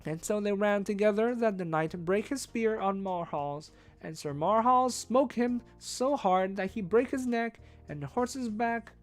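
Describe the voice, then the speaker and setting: Expressive woman reading aloud, lively pitch. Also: a little slow, few pauses. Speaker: streamer reading a book aloud. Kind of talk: expressive read-along narration of a classic novel. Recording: faint music behind the voice.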